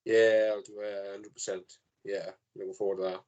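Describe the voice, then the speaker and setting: Welsh male guest, friendly and chatty, low voice with little pitch movement. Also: flat delivery, normal speed, darkish tone, highs cut off. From Wales. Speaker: podcast guest. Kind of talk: casual chat.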